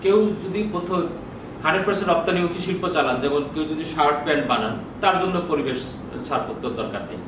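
A man's voice: on the high side, fast. A Bangladeshi man in his sixties explaining procedures in an informal lecture, often posing questions and answering them himself. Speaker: customs bond official giving training. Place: small office with bookshelves, indoors. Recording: very echoey, distant mic, noisy room.

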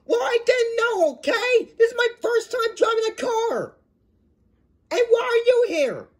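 A woman voicing a flustered, slow-witted cartoon truck learning to drive, whining and apologetic. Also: high voice, lively intonation. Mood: whiny, panicked. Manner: whiny, hesitant, apologetic.

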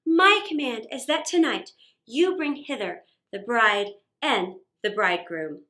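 A woman's voice: a little high, expressive, a little slow, pushed and bright, voice pushed down. A woman voicing a determined young man in a storybook, earnest and declamatory. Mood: commanding.

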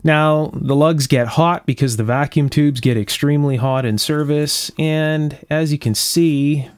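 A man's voice: fairly high pitch, fairly even intonation, a little slow, dry recording. A man giving casual hands-on repair narration, explaining carefully step by step as he hosts. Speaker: electronics restorer and video host. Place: indoor electronics workshop at a bench.

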